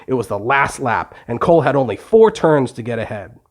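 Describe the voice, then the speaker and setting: A man's voice: medium pitch, lively intonation, fairly quick. Male storyteller, medium register, for simple scripted children's bedtime narration.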